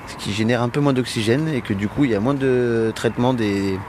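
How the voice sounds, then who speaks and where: A man's voice: medium pitch, a little fast, a little mellow. A man giving a hesitant, unscripted answer in a street interview. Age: adult. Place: outdoors in town, traffic behind. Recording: street recording with faint traffic noise.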